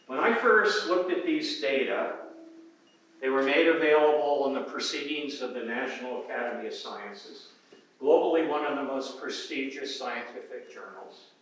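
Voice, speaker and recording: Older male scientist, emphatic unhurried lecturer, distant and boomy room sound. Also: fairly bright tone. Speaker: emeritus professor speaking at a symposium. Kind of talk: academic presentation. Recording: very echoey hall, voice from far off the mic.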